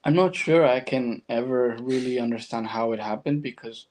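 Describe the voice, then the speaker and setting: A man's voice: mid-range voice, normal speed, a little mellow. A man from Argentina with a Latin American accent and Spanish as his first language, speaking as a guest and telling his personal story reflectively in conversation.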